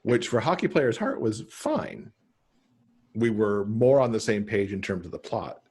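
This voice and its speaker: A middle-aged man chatting casually as a podcast guest on a video call, pausing to think as he goes. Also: fairly low pitch, big pitch swings, darkish tone, husky. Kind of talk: casual conversational interview. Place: home room, on a video call.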